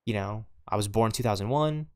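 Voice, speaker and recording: A man's voice: lowish voice, fairly quick, clear, resonant tone. A man in his twenties talking casually over gameplay, rambling with many fillers and repeats. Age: 20s. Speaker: gaming streamer.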